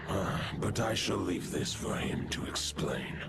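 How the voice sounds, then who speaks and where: Game casting: regal, solemn old wolf spirit, very low and unhurried, loud music underneath. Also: male voice, lively intonation, gravelly, heavily acted. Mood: solemn, grave. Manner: solemn, formal, archaic phrasing. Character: ancient solemn great wolf spirit king. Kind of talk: scripted fantasy game voice acting.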